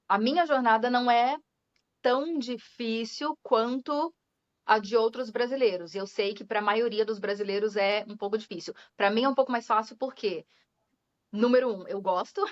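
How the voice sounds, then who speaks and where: Brazilian woman, young adult, English teacher, chatty guest, slightly high voice, dull recording. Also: moderate pace. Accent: Brazilian Portuguese. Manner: chatty, laughs often, drops English words into her talk. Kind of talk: casual interview chat. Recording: dull lo-fi sound.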